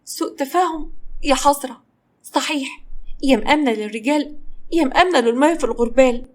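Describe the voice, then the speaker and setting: A woman's voice: sits high, expressive, rapid-fire. A woman voicing a proud, hurt female rival in an audio story, bitterly sarcastic and cutting. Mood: mocking.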